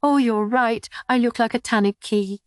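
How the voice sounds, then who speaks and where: A woman voicing a playful young woman who teases softly while masking sadness and resolve, in a novel reading. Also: a little high, normal speed, smooth, no room sound, studio quality, pitched above the performer's own voice, natural voice. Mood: teasing.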